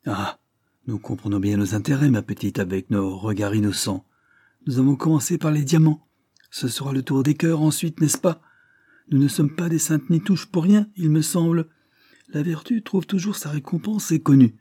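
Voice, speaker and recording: A woman's voice: sits low, takes it slowly, few pauses, husky, no echo, clean studio sound, pitched above the performer's own voice, heavily acted. A man voicing a mocking, spiteful young society lady in an audiobook, teasing in a sneering tone. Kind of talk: audiobook character reading.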